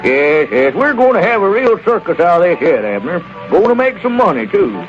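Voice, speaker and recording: An actor playing a folksy circus manager who talks with hesitant, stammering restarts in a 1930s radio comedy. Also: male voice, high voice, big pitch swings, moderate pace, soft background music. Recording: lo-fi old radio broadcast.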